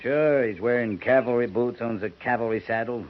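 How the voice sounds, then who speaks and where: Actor doing a stern cavalry captain, terse and commanding, muffled old radio sound, light hiss. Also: male voice, medium pitch, normal speed, lightly acted. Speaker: lead character.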